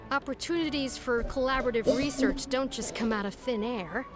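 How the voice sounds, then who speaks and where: A woman voicing a sceptical, sharp-minded academic assistant in a game, questioning and insistent. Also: high-pitched, normal speed, over music, lightly acted.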